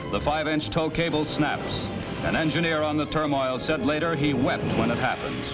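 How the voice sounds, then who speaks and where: A man's voice: a little high, expressive, moderate pace, loud music behind the voice. A man reading a scripted, dramatic 1950s newsreel narration on a vintage soundtrack.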